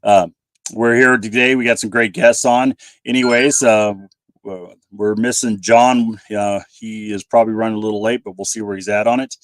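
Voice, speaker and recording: A man's voice: on the low side, steady tone, moderate pace, dry recording. A man casually hosting a panel livestream, his voice a little scratchy from a cold.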